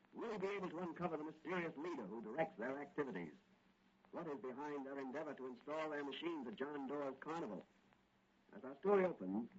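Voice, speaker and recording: A man narrating a 1940s radio serial in a formal, suspenseful scripted style. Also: a little high. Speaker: narrator, announcer. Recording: muffled 1940s radio recording, faint hiss.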